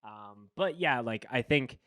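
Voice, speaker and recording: A man hosts a casual podcast. His voice is smooth and resonant, sitting in an ordinary middle pitch, and he rambles through restarts and fillers. The sound is dry and close, with no room around it. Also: lively intonation, unhurried, sharp tone, dry recording. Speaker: podcast host. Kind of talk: casual chat.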